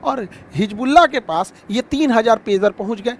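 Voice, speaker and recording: Noisy recording, man, Indian accent, high voice, informal lecturing, repeats key phrases, rhetorical questions. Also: animated, moderate pace. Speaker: teacher explaining current affairs.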